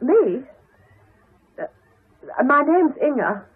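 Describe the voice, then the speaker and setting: An actress playing a timid young housemaid who talks haltingly in a radio drama. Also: female voice, sits high, sing-song, heavily acted. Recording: narrow-band old radio broadcast.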